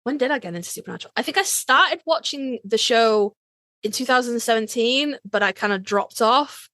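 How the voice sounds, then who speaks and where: A British woman with a bright, forward voice that sits fairly high. She co-hosts in a casual, sweary, informal way, and the sound is dry with no room on it. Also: expressive, fairly quick, no echo. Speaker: podcast co-host. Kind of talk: casual chat.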